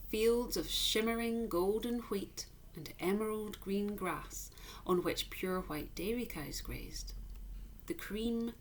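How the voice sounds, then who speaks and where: A woman reading a children's story aloud like a teacher, with emphatic, expressive narration. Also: medium pitch, a little hiss or hum.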